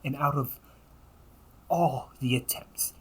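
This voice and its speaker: A man playing a villainous older brother, tender, remorseful and obsessively resolved, in a hushed, deliberate audio roleplay monologue. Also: mid-range voice, slightly rough. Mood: grave confession. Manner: slow, hushed, often whispering, deliberate pauses.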